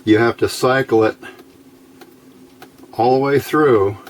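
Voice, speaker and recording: A man's voice: mid-range voice, slow, slight background noise. A man giving a casual hands-on demonstration as an electronics hobbyist, talking in a folksy, informal, rambling way.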